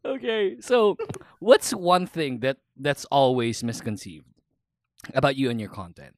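A young Filipino man hosts a relaxed podcast conversation with a guest. His pitch swings all over as he jokes and laughs, slipping between English and Tagalog. The recording is close and dry. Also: a little slow, dry recording. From Philippines. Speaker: podcast host. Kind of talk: casual interview chat.

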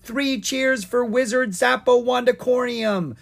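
An excitable little boy dragon acted by a storybook narrator, plucky and quick to grumble. The voice sits raised, husky and lively. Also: mid-range voice, pitched above the performer's own voice, exaggerated voice. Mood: cheering.

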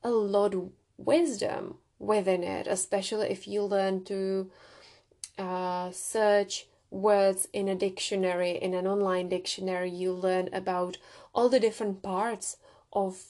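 A woman's voice: mid-range voice, little pitch movement, a little slow, mellow. A young adult woman with a Czech accent, hosting a solo podcast and telling personal stories in a reflective way with small restarts. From Czech Republic. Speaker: podcast host.

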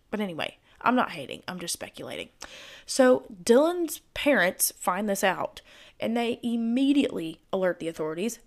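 A woman hosting a true crime podcast, her voice hoarse and giving out, narrating casually with chatty, speculative asides. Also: lowish voice.

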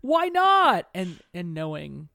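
A woman's voice: fairly low pitch, wildly swooping pitch, takes it slowly, creaky, pushed and bright, dry recording. A woman guest on a podcast, talking in a casual, chatty way. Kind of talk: casual chat.

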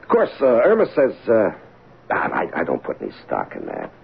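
Stubborn detective: low husky voice, wildly swinging intonation, hesitant folksy radio-drama delivery. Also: male voice, huge pitch swings, moderate pace, dull audio, full character voice. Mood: hesitant, explaining. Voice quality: gravelly, husky. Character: earnest, defensive, stubborn married police detective. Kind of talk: old-time radio drama acting. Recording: thin, band-limited old radio broadcast.

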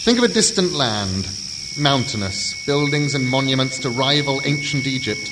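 A male actor plays an earnest, learned man in an audio drama, laying out ancient history with halting emphasis. His pitch moves freely as he poses rhetorical questions. The voice rings in long reverb under loud ambient noise. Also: expressive, natural voice. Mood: intense storytelling. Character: earnest, scholarly man named Rob expounding ancient island history.

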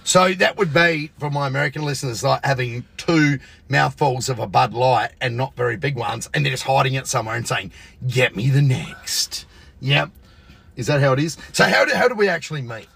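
A man's voice: medium pitch, lively intonation, fairly quick, gravelly, fairly bright tone, breathy edge. An Australian man hosting a casual podcast, teasing his guest and swearing freely in joking banter. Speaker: podcast host. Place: radio booth.

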